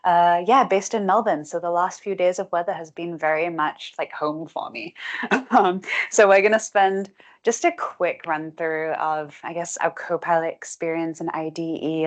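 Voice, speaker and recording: A young woman giving a tech talk to a meetup audience, brisk and chatty with frequent "um" and "like". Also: fairly low pitch. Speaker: guest speaker, technical presenter. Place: meetup room with a live audience.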